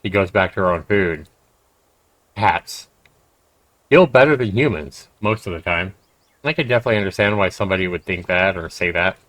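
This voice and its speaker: A man's voice: sits low, animated, normal speed, pauses often, a bit bright, dry recording. A man livestreaming a game, commenting conversationally and emphatically and reading text aloud. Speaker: game streamer.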